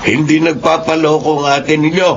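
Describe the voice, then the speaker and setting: Actor as a gravelly, supportive middle-aged father. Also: fairly high pitch, little pitch movement, normal speed, lightly acted. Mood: reassuring. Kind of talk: Filipino radio drama acting. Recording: dull, noisy radio drama audio.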